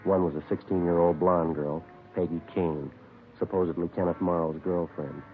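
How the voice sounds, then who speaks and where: Guy acting as deadpan american cop narrator, low voice, clipped, old muffled radio show. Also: moderate pace, soft background music, natural voice. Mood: matter-of-fact. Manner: deadpan, clipped, matter-of-fact. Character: terse, sober male police sergeant narrating his case. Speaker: police detective narrator. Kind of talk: 1940s-50s radio crime drama acting. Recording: muffled, noisy vintage radio recording.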